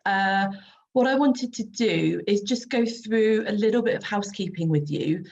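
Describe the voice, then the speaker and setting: A woman in her forties, croaky from a sinus issue, chatting casually with many ums as she hosts a webinar over a video call. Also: mid-range voice, normal speed, darkish tone. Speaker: skin expert and trainer hosting a webinar. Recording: dull lo-fi video call audio with faint noise.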